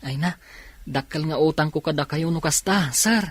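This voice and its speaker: A man's voice: on the high side, moderate pace, no echo, natural voice. A man with an Ilocano accent playing an earnest, grateful and hopeful young factory worker in a radio drama. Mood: grateful, respectful.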